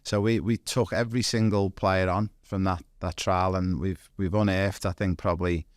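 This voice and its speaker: A man's voice: low-pitched, flat delivery, normal speed, no echo, studio quality. A man hosting a podcast interview, chatty and enthusiastic, introducing his guest and asking questions. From Europe. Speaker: podcast host.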